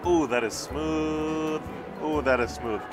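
A man's voice: a little high, slow pace, over music. A man in his thirties reviewing a restaurant meal, gushing about each dish, recorded on a lapel mic at a busy indoor restaurant. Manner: enthusiastic, gushing about food. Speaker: food vlogger.

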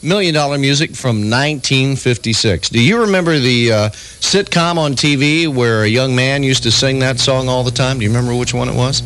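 A man's voice: medium pitch, a little fast, hardly any pauses, a bit bright, low-quality recording. A man hosting a 1980s morning radio show, bantering and chatting as a DJ on an AM aircheck. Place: radio studio.

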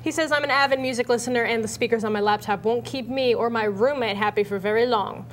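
A young American woman with a fairly high voice presents a tech show. Her delivery is chatty and informal, like talk across a desk. There is faint noise behind her in the studio. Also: quick pace.

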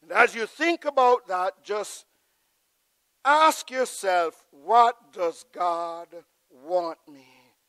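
A middle-aged pastor preaching a sermon from the pulpit of a large church, swelling to loud emphatic peaks and dropping to a hushed prayerful close. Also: male voice, high-pitched, drawn-out words, clean tone, dry recording. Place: large church sanctuary with choir behind. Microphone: pulpit mic.

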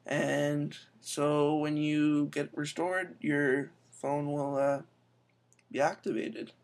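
A young man giving a casual, chatty tech tutorial, full of quick asides, recorded indoors on a laptop webcam mic. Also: fairly high pitch, flat delivery. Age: young adult. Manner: chatty, quick asides, says "I'm telling you". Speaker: tech vlogger. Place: indoor room.

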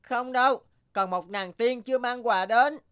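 A woman's voice: on the high side, measured pace, loud music behind the voice, close to an everyday voice. A woman voicing an anxious, earnest little boy guardian angel in a cartoon, with a childlike, insistent manner. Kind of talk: Vietnamese cartoon dubbing.

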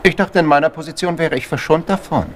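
An actor in a German crime radio play playing a self-important member of parliament, pompous and easily affronted. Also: male voice, fairly high pitch, fast, a little hiss or hum, natural voice. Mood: haughty, indignant. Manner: pompous, indignant, hesitant.

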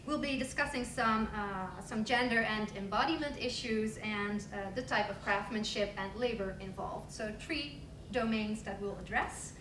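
A woman's voice: medium pitch, normal speed, few pauses. A young woman moderating a panel in an upbeat, chatty, informal way. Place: conference room with audience, table microphone. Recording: echoey conference room, distant mic, noisy.

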